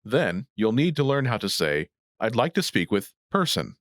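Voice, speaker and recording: A man hosting a language lesson, reading scripted instructions in a clear, didactic way. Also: lowish voice, big pitch swings, moderate pace, dry recording, studio quality.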